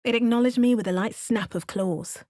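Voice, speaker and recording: A woman's voice: on the high side, moderate pace, mellow, lightly acted. A woman voicing a wry, resolute female adventurer in a video game, making dry understated remarks and narrating. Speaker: player character.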